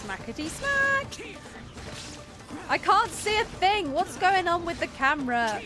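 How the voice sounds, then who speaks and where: Woman streamer chatting thru gameplay, playful, high voice, takes her time, game music behind. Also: sing-song, slow pace. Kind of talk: casual live gameplay commentary.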